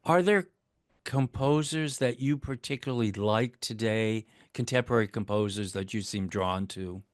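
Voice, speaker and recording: A man's voice: lowish voice, normal speed, dry recording, clean studio sound. A man interviewing a guest over a video call, asking brief, friendly questions. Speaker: interviewer and producer.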